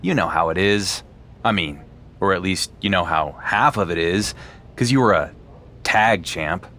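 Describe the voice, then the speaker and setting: A cocky male wrestling champion in a video game who brushes off authority. His voice sits low and his delivery is dry and unimpressed. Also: measured pace, sharp tone, slight background noise. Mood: casual. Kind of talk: video game cutscene acting.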